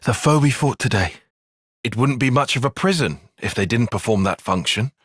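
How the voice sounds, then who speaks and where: A man's voice: medium pitch, lively intonation, normal speed, fairly bright tone, breathy edge, dry recording. A man playing an analytical, guarded young soldier strategist in a video game, speaking precisely and articulately with a dry, sarcastic edge. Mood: measured, analytical. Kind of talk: video game cutscene voice acting.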